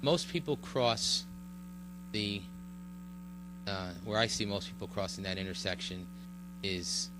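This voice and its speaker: A low-pitched man explains a road project at a public meeting, halting and full of fillers, restarting his sentences. A steady electrical hum sits clearly under his voice. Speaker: village official presenting a road project. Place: village board meeting room.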